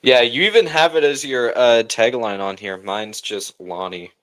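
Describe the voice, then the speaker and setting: A man hosts a livestream in a medium-pitched voice. His talk is loose and informal, full of slang, like someone chatting with friends and viewers. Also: normal speed, a bit bright. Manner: informal slangy talk, often saying bro. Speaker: livestream host. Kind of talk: casual livestream chat, reacting to a film.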